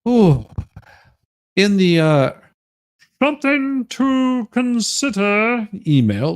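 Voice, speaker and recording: A man giving a mock dramatic reading with crude comic asides, in a halting, folksy, stammering delivery. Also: sits high. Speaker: livestream host.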